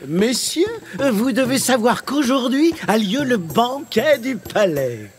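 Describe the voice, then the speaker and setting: An actor playing a vain, excitable sultan in a French children's cartoon, theatrical and pompous. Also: male voice, very high-pitched, slow, exaggerated voice. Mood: stately. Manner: theatrical, exclamatory.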